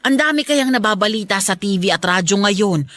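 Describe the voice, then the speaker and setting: A woman's voice: medium pitch, animated, fairly quick, a bit bright, no room sound, close to an everyday voice. A woman playing an aging, protective and stubborn but loving Filipino mother in a radio drama, chatty and firm in a motherly way. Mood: firm, wary.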